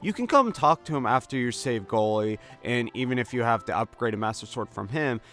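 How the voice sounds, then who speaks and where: A man's voice: mid-range voice, lively intonation, brisk, a bit bright, faint music behind. A man hosting a game walkthrough with casual, conversational commentary and joking asides. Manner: jokey, conversational, slips into mock dialogue. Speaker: gaming video host.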